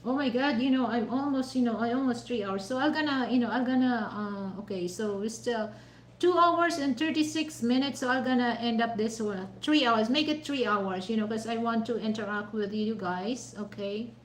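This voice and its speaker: A woman's voice: a little high, moderate pace, echoey room, a little hiss or hum, poor audio. A woman from the Philippines with a Filipino accent, chatting casually on a livestream while eating dinner, rambling with many "you know" fillers. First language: Mandaya. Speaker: livestream host.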